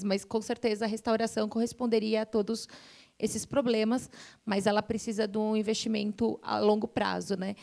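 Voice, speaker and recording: A Brazilian woman speaks as an expert panelist at a forum. Her voice sits on the high side, with noticeable vocal fry. She explains things step by step, dropping in frequent conversational tag words. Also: a little mellow. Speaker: environmental science panelist.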